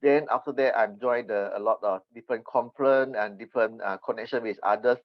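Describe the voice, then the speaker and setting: A Malaysian man, a native Mandarin speaker, answering podcast interview questions earnestly and haltingly as he searches for words. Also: medium pitch, no echo. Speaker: podcast guest. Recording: dull, slightly lo-fi remote connection.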